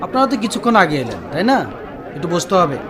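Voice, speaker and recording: A man playing a brisk clinic attendant in a radio drama. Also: sits high, very animated. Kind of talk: Bengali radio drama acting. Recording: busy waiting-room chatter behind.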